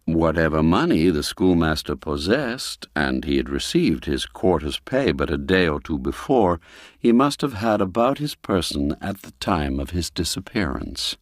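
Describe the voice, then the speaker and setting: A man narrating a classic literary tale in a measured, dramatic storytelling manner, phrase by phrase. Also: low voice, big pitch swings, dry recording, studio quality. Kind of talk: scripted literary narration, audiobook reading.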